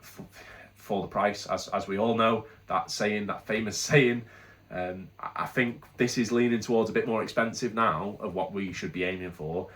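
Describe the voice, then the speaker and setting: A man's voice: fairly low pitch, normal speed, fairly bright tone. A British man with a congested head cold, casually reviewing products in a chatty, candid, rambling way with frequent restarts. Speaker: product reviewer, channel host.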